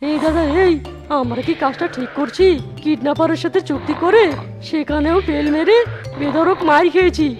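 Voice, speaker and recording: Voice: sits high, normal speed, a little mellow, soft background music. A performer voicing a timid, scheming young fox sidekick in a Bangla comedy cartoon, wheedling and exclamatory. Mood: nervous pleading.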